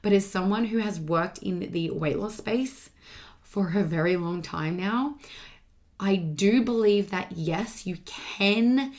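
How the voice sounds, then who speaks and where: A woman with a slightly low voice delivers a motivational solo podcast monologue. She talks like a coach, casual but emphatic, and restates her main points. Her voice sounds a touch strained, as if not at full strength. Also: a little mellow. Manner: emphatic, repeats key points, casual swearing. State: voice slightly strained from partly losing it.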